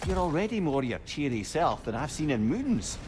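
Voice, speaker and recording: An actor playing a wry, talkative old adviser, wordy and philosophical, in a video game. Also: male voice, a little high, very animated, normal speed, background noise. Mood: curious, concerned. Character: wry, talkative, counselling old male companion. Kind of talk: dramatic video game acting.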